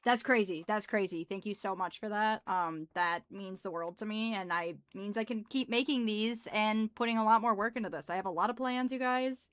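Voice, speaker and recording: Young Midwestern woman streamer, gruff voice, mid pitch, dry close sound, excitable and chatty. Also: moderate pace, few pauses, no echo. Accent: Midwestern American. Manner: bubbly, thanking viewers, laughs often. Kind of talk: casual live stream chat. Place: small home office.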